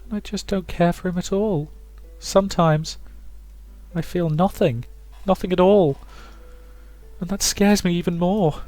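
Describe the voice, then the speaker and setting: Man voicing a distraught, high-pitched young woman. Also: a little mellow, no echo, soft background music. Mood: hollow despair. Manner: halting, repeating words. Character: distraught young woman, fragile, tormented, near madness.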